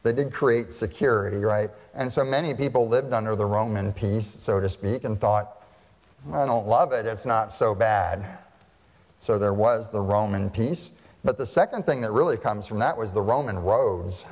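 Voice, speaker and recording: A man's voice: fairly low pitch, moderate pace, darkish tone, in a large hall. Man preaching a conversational sermon. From United States. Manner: conversational, asks the audience questions. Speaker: pastor.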